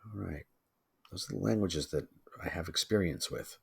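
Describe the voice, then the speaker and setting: Deep, husky-voiced man thinking aloud. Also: normal speed. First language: English. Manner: informal, muttering asides to himself. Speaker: teacher giving a software tutorial. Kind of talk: casual screencast lesson, thinking aloud.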